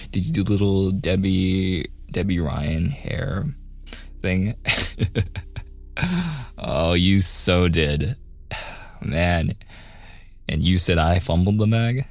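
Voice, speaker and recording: A young man with a very low, gravelly voice acts out a teasing younger brother in an intimate audio roleplay. He talks softly and takes his time, with casual, halting phrasing and a playful edge. Faint music plays behind him. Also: exaggerated voice. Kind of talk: boyfriend ASMR roleplay, soft intimate conversational acting.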